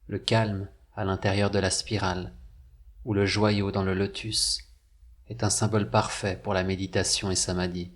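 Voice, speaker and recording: A man narrating a scripted guided meditation, with measured, deliberate phrasing and pauses. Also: low-pitched, level tone.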